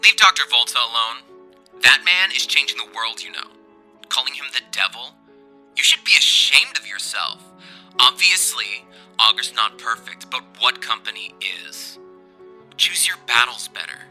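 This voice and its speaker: Indignant male caller, forceful and very sharp, scolding delivery, light music bed. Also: medium pitch, animated, moderate pace. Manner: scolding, emphatic. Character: indignant male listener scolding a podcast host.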